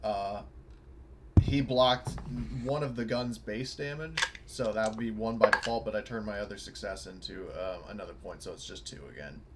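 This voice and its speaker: A man's voice: fairly low pitch, moderate pace. A man talking casually as a player in a tabletop roleplaying game. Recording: clearly noisy mic with clicks.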